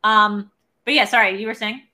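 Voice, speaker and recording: A young adult woman co-hosts a loose, casual film chat. She rambles along with a lot of "like", and her voice is bright and forward. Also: mid-range voice, fairly even intonation, moderate pace. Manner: rambling, heavy use of "like". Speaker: podcast and stream co-host.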